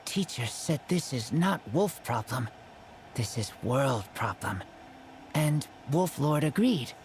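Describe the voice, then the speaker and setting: Slow, halting wild teenage boy. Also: medium pitch, very animated, slight background noise. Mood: resolute. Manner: halting, terse broken phrases without articles. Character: earnest wolf-raised teenage boy hunter. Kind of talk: video game voice acting. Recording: game music behind.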